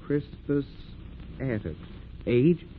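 Dry, lilting newspaper clerk. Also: male voice, medium pitch, lively intonation, very slow. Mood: dry, obliging. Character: unimpressed, obliging male newspaper ad clerk. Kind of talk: 1940s radio acting. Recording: tinny, noisy old radio recording.

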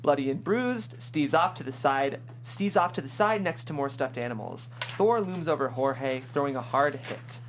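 A woman's voice: low voice, lively intonation, moderate pace, few pauses, slight background noise. A woman narrator reading screenplay stage directions at a table read.

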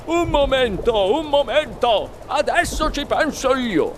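A woman's voice: sits high, background noise, voice pushed up. Woman voicing a flustered, fussy father. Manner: hurried, excited.

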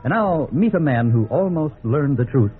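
A man's voice: on the high side, animated, moderate pace. An American man announcing a 1950s radio drama in a dramatic, formal broadcast style. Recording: tinny 1950s radio recording. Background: music.

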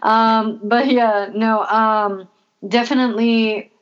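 A woman's voice: on the high side, fairly even intonation, slow pace, clear, resonant tone. A woman from Los Angeles with a Spanish accent, chatting casually about soccer as a co-host, with hesitant fillers. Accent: Spanish-influenced. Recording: dull lo-fi audio.

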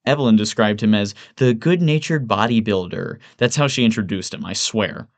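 A man voicing a smug, lewd, loudmouthed young yuppie with a sneering, sarcastic delivery in an audiobook. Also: fairly low pitch, moderate pace, natural voice. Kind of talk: audiobook character voice.

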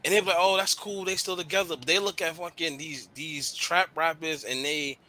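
Bright-voiced man chatting loosely. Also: a little high, fairly quick. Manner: animated, swearing, slangy. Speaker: podcast co-host. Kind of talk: casual chat.

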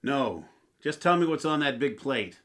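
A man's voice: mid-range voice, big pitch swings, unhurried, fairly bright tone. A man playing an exhausted, put-upon husband in an old-time radio comedy, grumpy and complaining.

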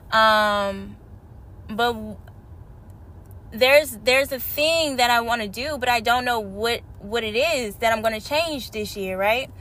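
A woman hosting her podcast in casual, slangy chat, a little hoarse from a cold. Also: fairly high pitch. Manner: animated, slangy and chatty. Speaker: podcast host. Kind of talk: casual spiritual podcast talk.

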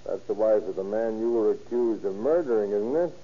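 An actor playing a patient, sardonic police officer who leans on an informant in a 1930s radio crime drama. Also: male voice, lowish voice, expressive, fairly quick, dark tone. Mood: sardonic, pressuring. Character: smooth-talking, needling police officer leaning on an informant. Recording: muffled 1930s radio recording.

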